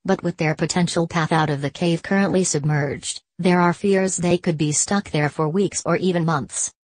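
A woman narrating a scripted news report in an even, measured read. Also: on the low side, no room sound.

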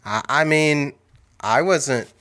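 A man's voice: a little high, fairly bright tone. A man in his thirties co-hosting a casual movie-review podcast from home on a studio mic, laughing often and acting out quoted voices. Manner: giggly, quick to laugh, acts out quoted voices. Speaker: podcast co-host. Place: home room with bookshelves. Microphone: studio mic with headphones, video call.

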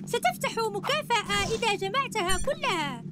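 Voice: extremely high pitch, very animated, moderate pace. An actor voicing an eager, earnest young boy superhero in a cartoon video game. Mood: encouraging. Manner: earnest, encouraging, instructing. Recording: music behind the voice. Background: game music.

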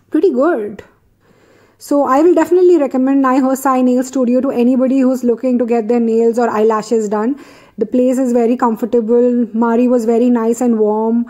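A woman's voice: a little high, dark tone. A woman from India chatting casually as a vlogger while getting her nails done indoors, filming on a phone. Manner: chatty, recommending, self-correcting. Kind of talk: casual vlog narration.

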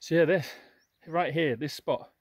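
A man's voice: on the high side, unhurried, breathy edge, dry recording. A young man chatting casually and upbeat to a handheld camera while hiking on an open hillside. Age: young adult. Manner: chatty, upbeat, many fillers. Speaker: outdoor vlogger. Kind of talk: casual walking vlog. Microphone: handheld camera at arm's length.

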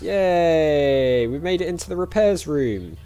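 Animated man playing games. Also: on the high side, takes it slowly, clean tone, soft background music. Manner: chatty, thinking aloud. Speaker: gaming YouTuber. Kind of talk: casual let's-play commentary.

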